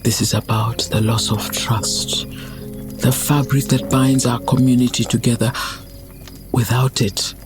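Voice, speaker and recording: A man playing a wise, solemn old community leader in a motion comic drama, measured and reflective. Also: mid-range voice, little pitch movement, over music, natural voice. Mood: philosophical. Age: older.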